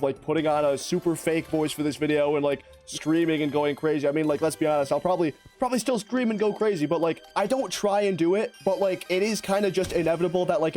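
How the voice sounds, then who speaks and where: A young man with a raspy, hoarse voice, giving excitable, slangy gameplay commentary as a YouTuber. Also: fairly high pitch. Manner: excitable, slangy, fast-talking, rambling. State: hoarse from lost voice. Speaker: gaming YouTuber.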